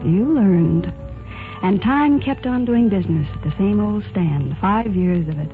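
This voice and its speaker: A woman's voice: medium pitch, a little slow, narrow, tinny audio, close to an everyday voice. An actress playing a wistful, self-possessed young shopgirl torn in love, in 1940s radio drama style. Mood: reflective. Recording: vintage 1940s radio recording, music behind.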